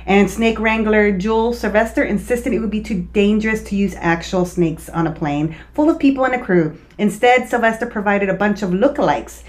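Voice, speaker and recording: A woman's voice: mid-range voice, moderate pace, few pauses. A woman co-hosting a podcast in casual chat, speaking with a lisp, laughing often and swearing freely. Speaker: podcast co-host.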